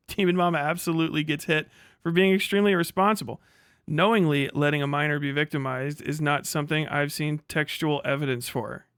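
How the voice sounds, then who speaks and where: A man's voice: fairly high pitch, steady tone, brisk, fairly bright tone, smooth, dry recording, studio quality. A man doing casual, profane reaction commentary as a streamer, exasperated and emphatic, rambling as he argues.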